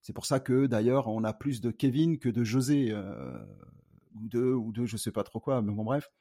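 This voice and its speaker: A man from the Paris suburbs talking casually as an interview guest, in a colloquial, halting way. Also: mid-range voice, expressive, warm tone. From Paris suburbs, France. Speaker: guest, rap artist.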